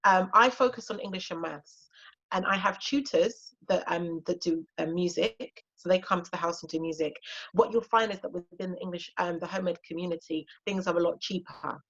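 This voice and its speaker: A woman's voice: on the low side, moderate pace, a bit bright, lo-fi sound. A woman in her thirties, a native Twi speaker from Ghana, talking casually and encouragingly as a homeschooling guest speaker, with frequent fillers. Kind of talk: casual talk on an online session.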